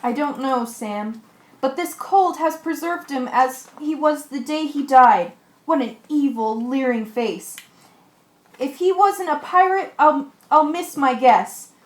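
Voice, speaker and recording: In an echoey room, a woman acts out a plucky, practical ship's mate in a radio drama. The delivery is high, slow and theatrical, with a commanding manner. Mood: curious, determined. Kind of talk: amateur radio play acting.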